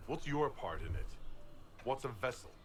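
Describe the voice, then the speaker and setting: An actor playing a resolute, stern hero leader in a video game. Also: male voice, medium pitch, sing-song, moderate pace, slight background noise, close to an everyday voice. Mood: stern challenge. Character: resolute male hero leader confronting a villain.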